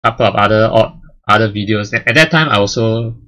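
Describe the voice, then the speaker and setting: A man's voice: fairly low pitch, a little fast, pushed and bright. A man casually chatting about his channel's history as its host, rambling with lots of fillers and restarts. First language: English and Chinese. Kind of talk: casual milestone chat.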